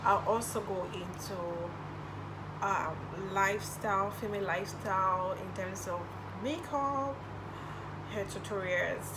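A woman's voice: mid-range voice, a little slow, noisy background. A young woman with a Nigerian accent, a native Igbo speaker, chatting casually through get-to-know-me questions in her bedroom, recorded on a camera in front of her. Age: young adult. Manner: chatty, answers questions with little laughs. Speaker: YouTuber. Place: bedroom, indoors. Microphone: camera in front at desk distance.

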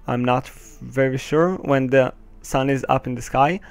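A man's voice: medium pitch, moderate pace, vocal fry, smooth, no room sound, soft background music. A teenage boy from Israel with an Israeli accent, Hebrew his first language, hosting casual gameplay commentary through a headset, hesitant, with many ums and nervous laughs. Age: teens. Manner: hesitant, many ums, nervous laughs, swears when the game goes wrong. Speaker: gaming channel host.

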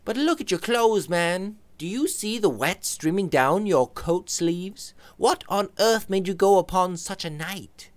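A man voicing an earnest, persistent young gentleman who presses his friend with questions. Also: high-pitched, animated, a little slow, hardly any pauses, no room sound, voice pushed up. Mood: concerned. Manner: pressing, fussing.

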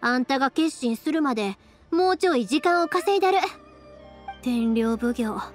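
A woman playing a cheerful, caring, energetic young fireworks maker with a Kansai accent in an anime game. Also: high voice, moderate pace, soft background music. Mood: determined, reassuring.

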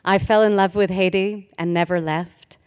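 Woman, 40s, commencement speaker at podium mic; smooth resonant voice, earnest with dry humor, long hall echo. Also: mid-range voice, fairly even intonation. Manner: earnest, measured, with light humor. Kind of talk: formal commencement speech. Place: large hall, at a podium on stage. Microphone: podium microphone. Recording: reverberant auditorium sound.

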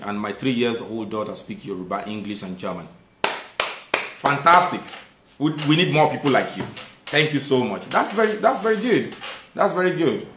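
A man in his thirties with a Nigerian accent hosts a casual live stream, reading viewer comments aloud and thanking viewers warmly. His voice sits at an average pitch and rises with conviction when he makes a point. The room around him echoes noticeably.

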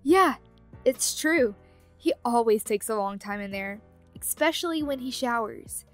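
A woman playing a friendly, teasing young ghost roommate in an ASMR roleplay audio drama, chatty and expressive. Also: a little high, a little slow, soft background music.

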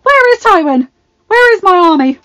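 A woman's voice: normal speed, natural voice. A woman barking as a petulant boy king. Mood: panicked. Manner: barking, shrill demands. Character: young male king, petulant and tyrannical.

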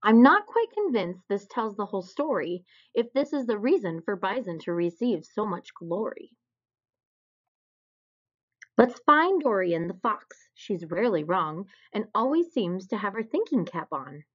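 A woman reading a children's picture book aloud in an expressive storytelling style. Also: mid-range voice, a little slow, breathy edge. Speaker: storybook reader. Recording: dull, lo-fi recording.

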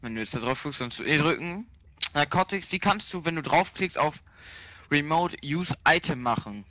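Bright-voiced young gamer explaining. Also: male voice, a little high, lively intonation, normal speed, no echo. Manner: instructive, teasing, impatient. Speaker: co-player explaining the game. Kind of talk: casual gaming chat.

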